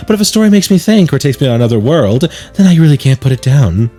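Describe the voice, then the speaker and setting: A man voicing a gentle, reserved schoolgirl in a visual novel, thoughtful and articulate. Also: medium pitch, sing-song, fast talker, faint music behind. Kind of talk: reading visual novel dialogue.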